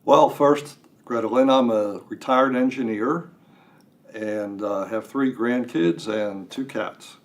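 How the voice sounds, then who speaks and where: An older man chatting as an interview guest, talking in a halting way with self-deprecating humor. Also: mid-range voice. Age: 60s or older. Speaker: guest writer. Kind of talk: casual interview. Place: radio studio.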